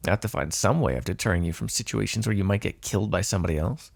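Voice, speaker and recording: A man's voice: fairly low pitch, very fast, creaky, no echo, studio quality. A man playing a smug, teasing vampire in an intimate ASMR roleplay, sardonic and flirtatious, possessive but fond. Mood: smug, bargaining. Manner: sardonic, flirtatious, swearing, sighing.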